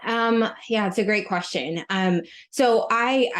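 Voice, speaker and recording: A woman's voice: mid-range voice, slow pace. A woman in her thirties, born in Russia and raised in Maryland, talking casually as a podcast guest with lots of ums and likes. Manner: chatty, many ums and likes. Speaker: guest, retail executive. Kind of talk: casual interview talk.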